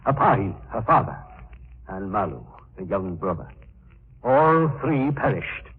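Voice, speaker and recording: Superstitious, ominous boat captain, thin sound. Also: male voice, fairly low pitch, very animated, a little slow, slightly rough, full character voice. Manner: ominous, melodramatic warnings. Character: fearful, superstitious boat captain warning of island horrors. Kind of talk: 1940s radio acting. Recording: noisy, narrow-band 1940s radio recording.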